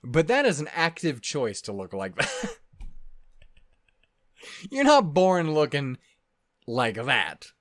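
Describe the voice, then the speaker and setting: A man's voice: fairly high pitch, takes it slowly, long pauses, dry recording. Casual, sweary man in a dry-sounding room. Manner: animated asides with frequent swearing. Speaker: reaction video host. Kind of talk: casual off-the-cuff commentary to viewers.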